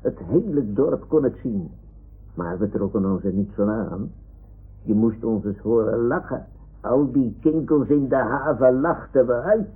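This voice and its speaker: A man playing a boastful, argumentative toy lion with a husky voice and a childlike way, in an old radio play. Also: medium pitch, unhurried. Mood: boastful, defiant. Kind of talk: 1960s-70s radio play acting. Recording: tinny old radio recording.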